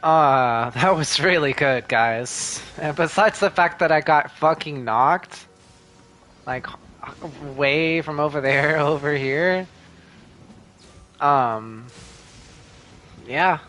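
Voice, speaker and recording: Slow, laid-back casual gamer. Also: medium pitch, a bit bright. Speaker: gamer playing and reacting. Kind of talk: casual gameplay commentary.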